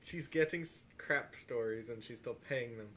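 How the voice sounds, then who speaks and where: A man's voice: mid-range voice, moderate pace, fairly bright tone, distant mic. A man co-hosting a podcast, giving casual critique and banter. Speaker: podcast co-host. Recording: faintly noisy room podcast.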